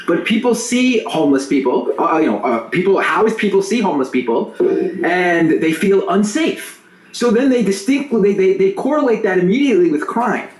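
Halting, slightly high-voiced man. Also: big pitch swings, echoey room, low-quality recording. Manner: halting, repeats words. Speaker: panel guest. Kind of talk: casual panel talk.

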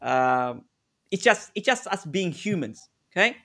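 High-voiced man on a video call. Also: very animated, unhurried, a bit bright. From South Africa. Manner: conversational, repeats words while thinking, acts out sample dialogue. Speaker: negotiation consultant presenting a webinar. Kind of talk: live webinar presentation.

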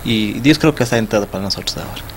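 A young man with an Ecuadorian Spanish accent answering questions in a small TV studio, talking haltingly with many restarts and repeated words. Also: on the low side, a little hiss or hum. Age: young adult. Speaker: festival sponsor guest. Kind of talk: studio interview. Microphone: desk mic on stand.

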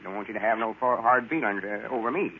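An actor playing a self-important, grumbling older country storekeeper with a Southern accent and a folksy drawl in a radio comedy. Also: male voice, lowish voice, fast, natural voice. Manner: pompous, folksy rustic drawl. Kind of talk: 1930s-40s radio comedy acting. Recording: tinny, hissy old radio recording.

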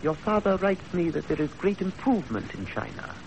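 An actor playing a stern older employer in a 1930s radio drama, speaking formally with measured authority. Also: male voice, a little high, big pitch swings, gravelly. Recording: faintly noisy 1930s radio recording.

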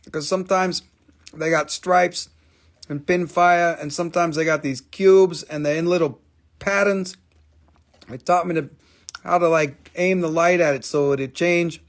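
A middle-aged man hosting a live sales stream, talking casually and excitedly, exclaiming and swearing as he shows stones at a table indoors. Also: on the high side, measured pace. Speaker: live-stream gem seller.

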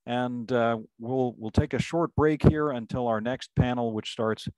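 Middle-aged moderator, headset mic, dry sound, mid pitch, halting. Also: male voice, no room sound. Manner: warm-mannered, restarts, many fillers. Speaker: panel moderator. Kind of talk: moderating a virtual panel. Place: home room, indoors. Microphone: headset mic on video call.

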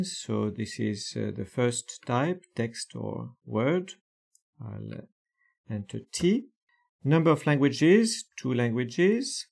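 A man calmly walking through software steps one by one in a screen-recorded tutorial, with frequent hesitations. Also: mid-range voice, creaky. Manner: slow, methodical step-by-step explanation with frequent fillers.

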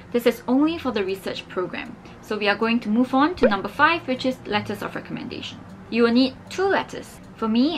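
A young woman from Singapore, explaining application steps clearly to camera indoors. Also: medium pitch, a bit bright, a little hiss or hum, poor audio. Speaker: scholarship advice vlogger. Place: indoors against a plain wall.

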